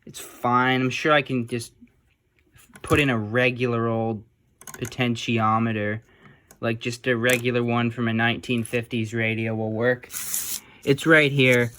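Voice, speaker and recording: A man chatting casually and unscripted as he works on a repair, speaking in a halting, muttering way like a hobbyist thinking aloud. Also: medium pitch, little pitch movement, fairly bright tone, slight background noise. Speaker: hobbyist restoring old electronics. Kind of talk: casual unscripted talk while working on a repair.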